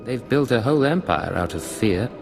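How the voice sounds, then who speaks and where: An actor playing a blunt, cool-headed adventurer in a video game, terse and dry. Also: male voice, medium pitch, expressive, moderate pace, creaky. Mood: cool, curt. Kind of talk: video game cutscene acting. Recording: echoing game dialogue over music.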